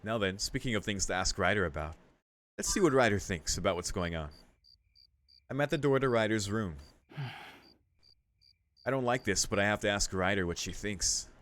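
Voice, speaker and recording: A man with a deep voice streams a story game. He alternates between reading narration and relaxed asides, musing aloud and swearing casually. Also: moderate pace, long pauses. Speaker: streamer playing through and reading a visual novel.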